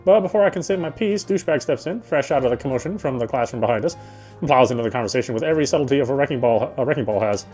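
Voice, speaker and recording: A man streaming a game, chatting casually and reading its story aloud, often halting and correcting himself. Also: medium pitch, animated, a little mellow. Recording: faint game music behind.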